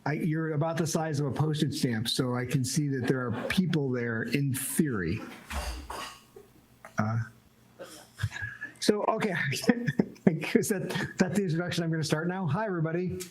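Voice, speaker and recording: A man's voice: on the high side, normal speed, pauses often, a little hiss or hum, low-quality recording. A man speaking over a video call as a remote guest speaker, opening his talk informally with a wry, joking manner.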